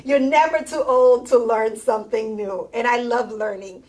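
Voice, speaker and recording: A woman hosts a talk show with a playful, teasing touch. Her voice sits a little high. She sounds animated and quick to joke. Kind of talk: lively interview.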